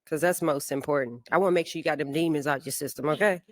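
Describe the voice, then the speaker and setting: A woman's voice: deep voice, fast, no room sound, clean studio sound. A woman contestant speaking in a sassy, teasing way. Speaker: dating show contestant.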